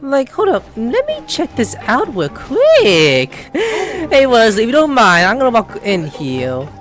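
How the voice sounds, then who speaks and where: A woman's voice: fairly high pitch, wildly swooping pitch, unhurried, over music. A woman giving casual gameplay commentary, thinking aloud in a playful, exclamatory way. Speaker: gaming video host.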